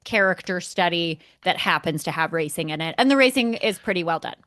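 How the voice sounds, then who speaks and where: Lower-voiced woman podcaster, dry close sound. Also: lively intonation, fairly quick, fairly bright tone, dry recording. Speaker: podcast co-host. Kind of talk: casual movie review discussion.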